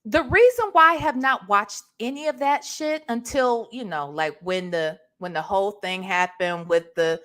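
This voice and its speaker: A woman's voice: medium pitch, normal speed, hardly any pauses, a bit bright. A woman doing casual gossip commentary as an online host, sassy, slangy and profane, punctuating her points with emphatic rhetorical check-ins. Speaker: online commentator hosting a reaction show.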